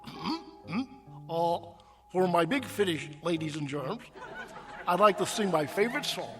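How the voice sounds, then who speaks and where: A man's voice: sits high, lively intonation, a little slow, music in the background. A man voicing a cheeky, boyish ventriloquist's dummy trading corny, stammering wisecracks in a radio play. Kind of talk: vaudeville comedy patter in a radio play.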